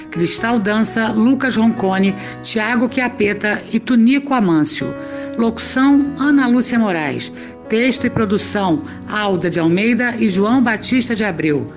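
A woman with a Brazilian accent reading scripted narration as the narrator of an audio drama. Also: mid-range voice.

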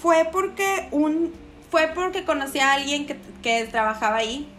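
A young woman with a Mexican accent talks in an easy, slangy interview chat. Her voice sits a little high, and she acts out other people's lines as she tells a story. Faint noise hums behind her. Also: steady tone, normal speed, fairly bright tone. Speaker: guest.

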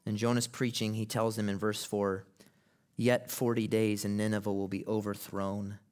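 A man delivering a sermon from the pulpit in a fairly low voice. He talks to the congregation in a conversational way and then rises to loud, impassioned preaching. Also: level tone, normal speed. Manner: acts out quoted people in casual voices. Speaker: pastor. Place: church sanctuary.

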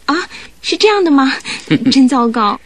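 A young bride speaks in a fairly high voice. She is polite and admiring, given to exclamations, in the manner of Mandarin radio drama. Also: sing-song, a little slow, no room sound, exaggerated voice. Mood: embarrassed.

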